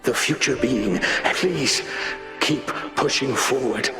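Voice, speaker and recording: A man's voice: mid-range voice, takes it slowly, pushed and bright. A man playing a solemn, wistful visionary in a game monologue, grave and earnest, with echo and music behind him. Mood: solemn, pleading. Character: solemn, wistful male visionary giving parting words. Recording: echoing voice over game music.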